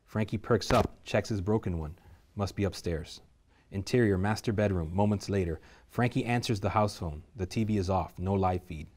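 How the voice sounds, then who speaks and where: A man reading the stage directions aloud at a script table reading, steady and measured. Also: low-pitched.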